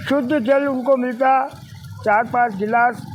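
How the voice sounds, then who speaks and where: Very high-voiced older man recalling the past. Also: steady tone, a little slow, a little hiss or hum. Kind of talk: recollection in interview.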